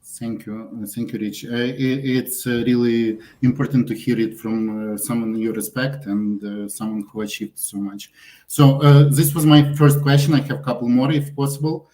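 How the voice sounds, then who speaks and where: A man in his thirties who immigrated to Canada, calling in with a question and speaking haltingly, with many uhs. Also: mid-range voice, little pitch movement, mellow. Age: 30s. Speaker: caller. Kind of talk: live call-in question.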